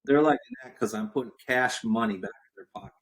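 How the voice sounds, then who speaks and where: A man in his forties sits in as a podcast guest and talks in an emphatic, casual way. He repeats his key points for effect and clears his throat or coughs now and then, at an everyday mid pitch. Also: quick pace. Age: 40s. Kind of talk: casual interview talk.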